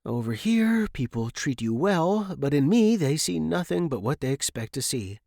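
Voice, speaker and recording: Man playing an earnest young Victorian gentleman, high-pitched. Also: sing-song, moderate pace, vocal fry, no room sound, clean studio sound, full character voice. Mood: troubled, earnest.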